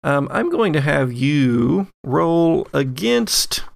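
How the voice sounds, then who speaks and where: A man's voice: a little high, very animated, takes it slowly, vocal fry, no room sound, studio quality. A man narrating as game master and podcast host, shifting between theatrical storytelling and casual asides. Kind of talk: recapping and running a tabletop game.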